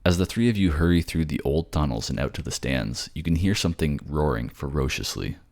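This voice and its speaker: Extremely deep male fantasy narrator. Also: lively intonation, a little fast, a little mellow. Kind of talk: dramatic second-person fantasy narration.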